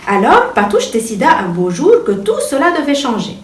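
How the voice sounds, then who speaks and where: Animated high-voiced woman reading a bedtime story. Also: moderate pace. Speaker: storyteller reading to a young child. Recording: echoey room, distant mic, music behind.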